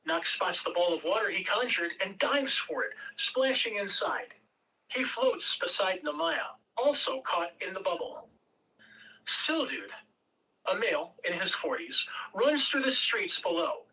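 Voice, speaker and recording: A woman reading stage directions as narrator at a table read, measured, with occasional stumbles over words. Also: medium pitch, animated, pushed and bright, far from the mic, low-quality recording.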